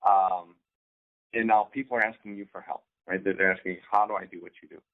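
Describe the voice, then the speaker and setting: A man co-hosting an informal instructional talk as a business coach, speaking haltingly with repeated words and frequent "right?" check-ins. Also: low voice, steady tone. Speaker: co-host, online business coach. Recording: thin, phone-like band-limited sound.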